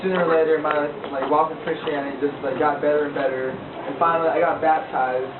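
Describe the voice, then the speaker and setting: A man's voice: fairly even intonation, a little fast. A teenage boy casually sharing his faith testimony with a group of listeners, rambling with lots of filler words and asking them questions. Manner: rambling with frequent likes, asks the listeners questions. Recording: echoey, distant, tinny low-fi recording with a noisy crowd.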